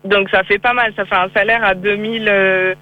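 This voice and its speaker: A woman's voice: medium pitch, fairly even intonation, moderate pace, no echo, soft background music. A young woman from France with a faint English-tinged lilt, answering interview questions hesitantly, with many fillers and restarts. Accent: slight English-tinged French. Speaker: interviewee, freelance graphic designer. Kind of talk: casual interview over a call. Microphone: phone or call line. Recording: tinny phone line.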